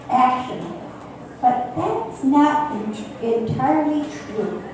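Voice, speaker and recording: An older woman playing a regretful mother in an amateur radio play, with a halting, deliberate delivery. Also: on the high side, warm tone. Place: echoey room at a senior center. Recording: very echoey, distant mic, faint noise.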